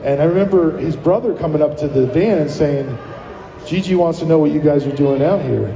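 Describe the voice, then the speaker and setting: A man from New Jersey, a guest musician, telling stories in a casual radio interview, rambling and animated with many fillers, in an echoey live room. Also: a little high, fairly quick, vocal fry. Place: live broadcast at a plaza venue.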